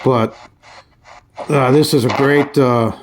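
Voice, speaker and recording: A man giving a casual, hands-on review in a halting, folksy way, often restarting his phrases. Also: medium pitch, fairly even intonation, crowd noise. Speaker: radio reviewer. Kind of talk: casual hands-on product demonstration.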